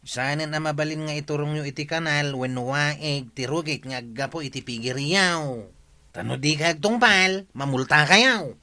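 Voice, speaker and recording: A man's voice: fairly high pitch, normal speed, pushed and bright, dry recording. A man playing a firm village official in an Ilocano radio comedy drama, with an Ilocano Filipino accent.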